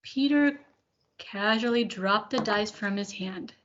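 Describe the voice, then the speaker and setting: A woman reading a children's storybook aloud with deliberate, expressive delivery. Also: mid-range voice. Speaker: elementary school staff member reading aloud. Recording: dull lo-fi recording.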